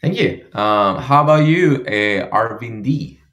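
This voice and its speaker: A man hosting an orientation call, welcoming newcomers and prompting introductions slowly. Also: medium pitch, animated, fairly bright tone, roomy sound. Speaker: call host.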